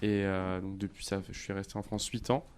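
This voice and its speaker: A man in his thirties, from Côte d'Ivoire, co-founder of a sneaker brand, chatting casually and relaxed in an interview, with lots of fillers and restarts. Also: deep voice, a little fast, a little hiss or hum. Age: 30s.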